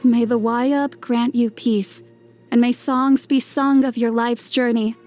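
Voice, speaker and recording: A woman voicing a solemn, reverent celebrant in a video game, giving a gentle ceremonial blessing. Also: on the high side, fairly even intonation, slow, faint music behind.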